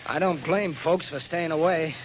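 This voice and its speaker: A man doing a gruff patrol cop. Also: a little high, normal speed, close to an everyday voice. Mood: chatty. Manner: streetwise, chatty. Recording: noisy old radio recording.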